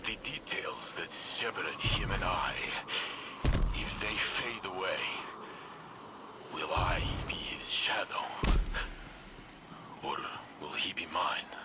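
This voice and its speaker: A solemn robot warrior with a very low voice. He speaks slowly and gravely in video game drama style, brooding and weary, with loud ambient noise behind him. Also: lively intonation. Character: weary, solemn male robot warrior doubting his identity.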